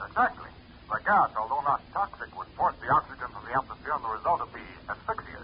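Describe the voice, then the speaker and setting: An actor playing an educated, anxious doctor in 1940s radio style, formal, precise and exclamatory. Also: male voice, medium pitch, very animated, quick pace, lightly acted. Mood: alarmed, precise. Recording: dull, faintly noisy old radio recording.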